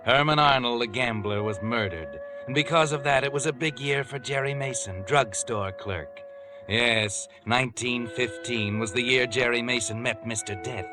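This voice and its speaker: Guy doing an ominous old-time radio mystery narrator, sinister and knowing, mid-pitched, music underneath. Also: normal speed, lightly acted. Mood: knowing, grim.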